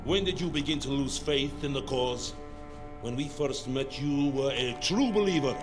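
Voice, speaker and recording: An actor playing a cold, menacing middle-aged colonel of the secret police, questioning a prisoner in measured tones for a game cutscene. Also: male voice, medium pitch, music in the background, lightly acted. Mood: cold probing. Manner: coldly probing, measured. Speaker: interrogating NKVD colonel. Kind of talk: video game cutscene acting.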